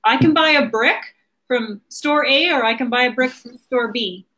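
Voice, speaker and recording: A woman's voice: fairly high pitch, normal speed, sharp tone, rough recording. A woman in her thirties hosting a livestream, chatting casually and informally. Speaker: host of a marketing livestream. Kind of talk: casual conversational interview. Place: small home office indoors.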